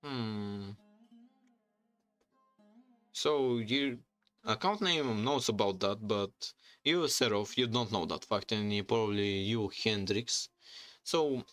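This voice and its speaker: Halting Bulgarian-accented man streaming. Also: lowish voice, fairly bright tone, soft background music. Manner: rambling, halting English with frequent restarts. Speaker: streamer and YouTuber. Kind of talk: casual livestream chat. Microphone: desk microphone.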